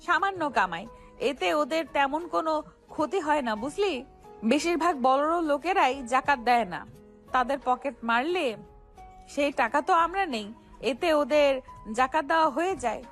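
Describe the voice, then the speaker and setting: A very high young female voice acted by a woman plays a cocky pickpocket boss. She is sassy and defiant, arguing in street slang. It is audio story drama with soft music underneath. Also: heavily acted. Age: young adult.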